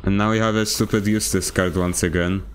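A man streaming a video game, chatting casually and teasing his teammates with deadpan jokes. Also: low-pitched, fast talker. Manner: joking, teasing, mock-serious deadpan. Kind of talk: casual gaming chat. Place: home room with a fan.

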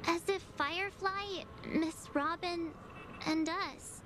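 A woman's voice: extremely high pitch, fairly even intonation, slow pace, background noise. A woman voicing a wistful, sensitive teenage girl in a video game, girlish and plaintive, speaking hesitantly and earnestly. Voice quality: girlish, youthful.

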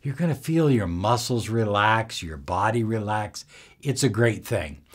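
Male coach, 60s. Unhurried, lively intonation, emphatic short phrases, instructional delivery. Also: on the low side. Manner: deliberate, emphatic, pausing between short phrases. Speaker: self-help coach. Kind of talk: instructional talk to camera. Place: small indoor room with bookshelves.

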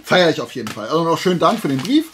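A man's voice: on the high side, sing-song, rapid-fire, fairly bright tone, a little hiss or hum. A man chatting casually and unscripted to viewers as a video host, enthusiastic, colloquial and rambling. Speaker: video host showing collectible finds.

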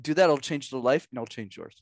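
A young man, a podcast guest and communication coach, giving energetic coaching advice with lots of emphasis and repetition over a headset mic at home. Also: medium pitch, quick pace, no echo. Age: young adult. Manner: animated, gestures a lot, drives points home with repetition. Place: small room at home.

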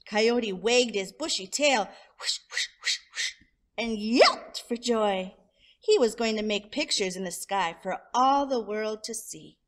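A woman storyteller reading a children's picture book aloud expressively, drawing out descriptive words. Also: on the high side. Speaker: storyteller, show host.

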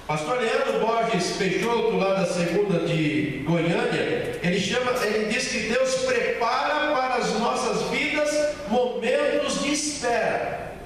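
A man in his thirties with a Brazilian accent, preaching a sermon slowly and emphatically with a handheld mic, repeating key phrases. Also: very high voice, fairly bright tone, a little hiss or hum. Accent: Brazilian Portuguese. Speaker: pastor. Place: large bare church hall with a small stage. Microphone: handheld mic, filmed by far camera. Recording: echoey hall from far off.

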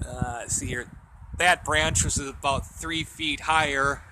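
A man giving a casual, off-the-cuff walkaround narration for a home video, thinking aloud hesitantly as he films outdoors on a handheld camera. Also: a little high. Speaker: amateur video host showing his radio antennas. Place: outdoors in a snowy residential yard. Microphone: handheld camera or phone held by the speaker. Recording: noisy outdoor recording with traffic.

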